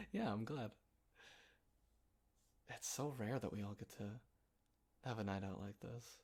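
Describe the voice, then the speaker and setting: A man acting an easygoing, playful young guy in a casual roleplay audio, talking in a halting, chuckling, naturalistic way with a friend. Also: lowish voice, sing-song, darkish tone, husky, exaggerated voice. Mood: soft, fond. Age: young adult.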